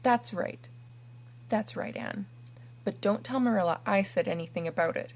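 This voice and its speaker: Woman reading a timid elderly farmer, hushed and hesitant. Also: medium pitch, moderate pace, natural voice. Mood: coaxing. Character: shy, kindly elderly male farmer.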